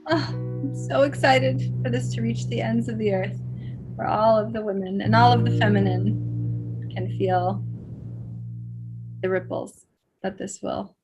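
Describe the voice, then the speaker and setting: A woman's voice: medium pitch, steady tone, unhurried, pauses often, music in the background, low-quality recording. A woman hosting an online salon over a video call, gushing and affectionate toward the speakers. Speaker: host.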